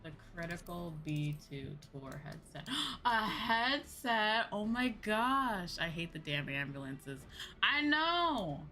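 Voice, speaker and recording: A woman livestreaming a game in casual, excitable chatter, often exclaiming as she talks. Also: mid-range voice, slow, faint music behind.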